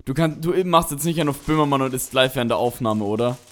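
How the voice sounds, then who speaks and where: A man's voice: mid-range voice, fast talker. A young man co-hosting a casual podcast, joking around in a playful, silly way. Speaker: podcast co-host. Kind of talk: casual chat.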